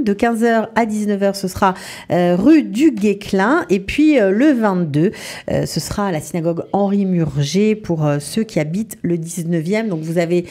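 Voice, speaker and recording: A woman's voice: medium pitch, a little mellow. A woman in her fifties hosting a radio interview, prompting her guest and reading out details in a friendly way. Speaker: radio host. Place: radio studio. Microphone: studio microphone with headphones.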